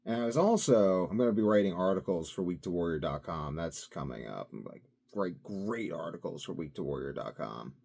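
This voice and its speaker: A young man talking casually to camera, wandering from thought to thought and swinging between loud bursts and mumbled asides. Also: low-pitched, expressive, a little fast, creaky, few pauses. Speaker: vlogger. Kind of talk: casual rambling monologue.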